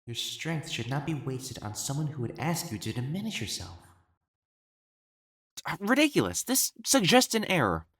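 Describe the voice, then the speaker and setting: A man playing an elegant, proper matchmaker in a dramatic audio roleplay, speaking formally and haltingly as he hides his jealousy. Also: fairly high pitch, very animated, fairly bright tone, breathy edge, exaggerated voice. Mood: searching, dismissive. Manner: formal, halting, stammering when flustered.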